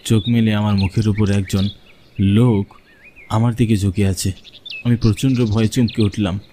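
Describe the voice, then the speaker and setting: A man's voice: fairly low pitch, steady tone, moderate pace, mellow, a little hiss or hum. A man reading a scripted horror story as a dramatic first-person narrator.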